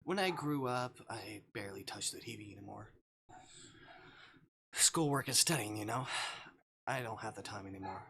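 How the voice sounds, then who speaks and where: A man chatting casually on a game livestream, thinking aloud in a halting way. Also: lowish voice, animated, gravelly, sharp tone, slight background noise. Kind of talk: casual livestream chat while playing a game.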